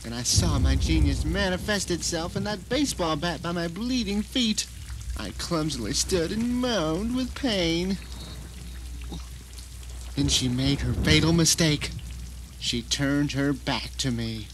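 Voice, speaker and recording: A man's voice: on the high side, animated, unhurried. A man playing a self-satisfied novelist trapped at home, narrating his grim ordeal dramatically in an audio drama. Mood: smug, cunning. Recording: noisy 1990 radio drama recording.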